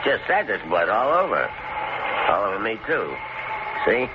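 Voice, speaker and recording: An actor playing a menacing, crude stranger in an old-time radio thriller, mocking and bossy, with slow insinuation. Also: male voice, lowish voice, sing-song. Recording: muffled, very noisy old radio recording.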